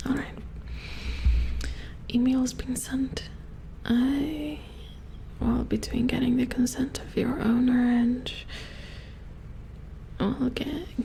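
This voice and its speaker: A woman playing a weary, puzzled robot repair engineer in a soft-spoken ASMR horror roleplay, murmuring hesitantly in a hushed, breathy voice close to the mic. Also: high voice, a little hiss or hum, heavily acted, low-quality recording. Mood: hesitant, businesslike. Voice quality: breathy whisper with fry. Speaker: ASMR performer. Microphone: close ASMR microphone.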